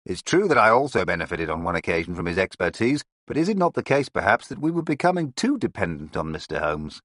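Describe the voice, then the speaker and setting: A man's voice: low voice, very animated, fast, a bit bright, breathy edge, no echo, clean studio sound, exaggerated voice. A man voicing an earnest male police inspector who questions his colleagues in a storybook reading.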